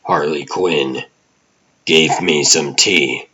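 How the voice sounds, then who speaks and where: A man's voice: very deep voice, extremely slow, sharp tone, heavily acted. A man playing a driven, serious vigilante hero in a comic dub, speaking earnestly. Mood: confused, subdued.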